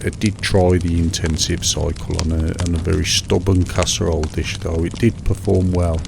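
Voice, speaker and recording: A middle-aged British man in a monotonous, soothing one-sided chat, hesitant and rambling, repeating himself over mundane small details. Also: sits low, moderate pace, creaky. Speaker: host of a sleep relaxation show. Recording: steady background noise, fireside crackle.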